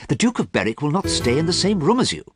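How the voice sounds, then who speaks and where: A man's voice: fairly high pitch, sing-song, fast talker, soft background music, voice pushed up. Man voicing an earnest, troubled artist. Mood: pleading. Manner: quiet, pleading, sad. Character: earnest male artist, worried friend. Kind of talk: audiobook character reading.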